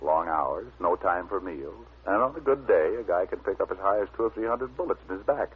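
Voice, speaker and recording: An actor playing a wisecracking, confident private detective with an American accent, dry and sardonic, in an old-time radio drama. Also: male voice, low voice, expressive, fairly quick. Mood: wry. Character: wisecracking, confident male private detective, narrating his case. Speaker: lead actor and narrator. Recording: muffled, noisy old radio broadcast.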